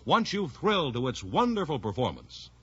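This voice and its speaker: A man's voice: mid-range voice, wildly swooping pitch, normal speed, fairly bright tone, husky, no echo. A man announcing a scripted 1950s radio commercial with a polished salesman's delivery, on an old recording. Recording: dull vintage radio recording.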